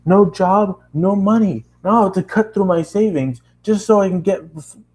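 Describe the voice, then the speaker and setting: A man voicing his own enraged younger self in a spoken story, shouting at a companion after losing his job. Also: medium pitch, expressive, takes it slowly. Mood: furious.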